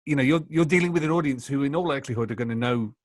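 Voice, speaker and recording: A man's voice: medium pitch, racing, no echo, clean studio sound. A man hosting a casual podcast interview, hesitant and circling his way towards each question.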